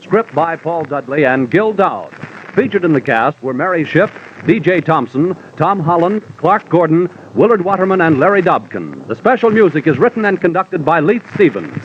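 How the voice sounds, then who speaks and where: A male American announcer in vintage radio style, scripted and promotional. His voice is high for a man and very lively, on a dulled recording with music behind. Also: normal speed, lo-fi sound, a little hiss or hum.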